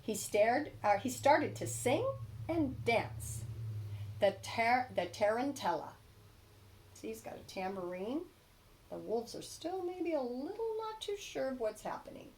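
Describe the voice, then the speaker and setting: A woman reads a storybook aloud for children. Her voice is fairly high and very lively in pitch, with emphatic stresses and dramatic pauses. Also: slight background noise, rough recording. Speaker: storybook reader.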